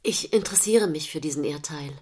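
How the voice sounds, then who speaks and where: A woman's voice: deep voice, darkish tone, breathy edge. Cultured, earnest mother, stiffly civil. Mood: self-assured. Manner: measured, articulate, formally polite. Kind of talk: naturalistic stage-play dialogue.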